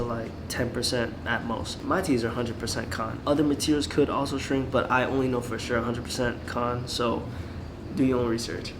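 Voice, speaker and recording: A man's voice: medium pitch, background noise. A young man with a cold and a fading voice, giving casual clothing-brand advice in a laid-back, rambling way. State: sick, losing his voice. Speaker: clothing brand owner and YouTuber.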